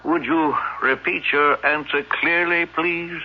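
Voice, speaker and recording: A man's voice: a little high, fairly even intonation, slow pace. An actor playing a small-town lawman questioning a suspect in a formal, pressing way in a radio drama. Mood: stern, pressing. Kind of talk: 1970s radio drama acting. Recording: muffled old radio broadcast.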